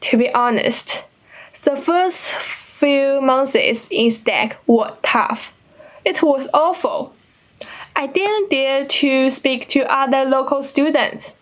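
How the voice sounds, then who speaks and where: A woman's voice: high-pitched, slow. A young woman from China with a Chinese accent, an international student giving an earnest, carefully paced assembly speech in her second language. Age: young adult. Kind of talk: prepared school assembly speech.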